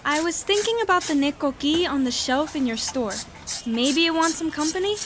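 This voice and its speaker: An eager young girl full of creative plans. Her voice is high and youthful with a faint ambient hiss behind. She pitches her ideas with enthusiasm. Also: animated, a little fast. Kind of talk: audio drama acting.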